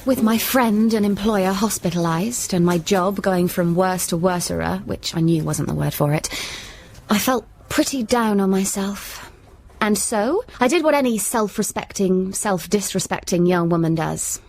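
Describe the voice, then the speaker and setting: A woman's voice: mid-range voice, normal speed, darkish tone, a little hiss or hum, natural voice. An actress as a young British woman working in magazines, downhearted and wry. She speaks in a self-deprecating way, as heroine and narrator of a radio drama. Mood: wry, reflective. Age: young adult.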